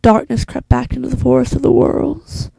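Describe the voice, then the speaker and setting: A woman playing a solemn, wise elf queen who narrates a fantasy monologue in a hushed, deliberate way, with a soft voice that slips toward a whisper. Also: high voice, steady tone, vocal fry, husky, no echo, full character voice. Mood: hushed, ominous. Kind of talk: slow dramatic fantasy monologue.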